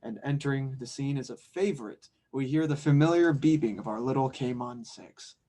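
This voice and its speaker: Guy narrating and running an audio drama table read, middle-pitched voice. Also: moderate pace, warm tone.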